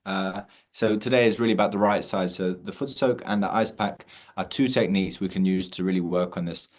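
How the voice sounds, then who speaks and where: A man hosting an online meditation session over a video call, talking in a relaxed way with many fillers and restarts. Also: low voice, brisk. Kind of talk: casual online session introduction.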